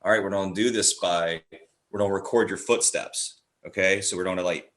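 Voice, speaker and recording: A man's voice: sits low, steady tone, brisk, noisy background. A man speaking as a guest playwright in a casual podcast chat, explaining things by acting out examples, recorded with roomy echo. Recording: roomy, echoey sound.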